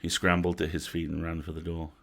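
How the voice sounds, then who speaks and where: A man narrating a bedtime story from a script as an expressive storyteller. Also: low voice, brisk. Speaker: storyteller, host.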